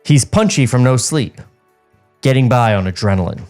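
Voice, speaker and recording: A man's voice: mid-range voice, lively intonation, moderate pace, darkish tone. Male podcast script reader, music behind. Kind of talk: script narration mixed with casual banter. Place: podcast studio.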